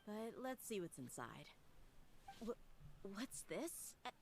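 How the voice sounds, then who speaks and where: An actress playing a tough, blunt young female mercenary with a soft side in a video game. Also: mid-range voice, big pitch swings, slow pace, creaky, a little hiss or hum, heavily acted. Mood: wry, exasperated. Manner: blunt, wry, grumbling.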